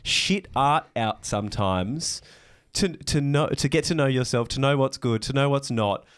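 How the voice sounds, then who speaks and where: A man's voice: mid-range voice, moderate pace, clean tone. A man hosting a podcast, talking in a rambling, reflective way with frequent restarts. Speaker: podcast host. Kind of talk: casual podcast interview.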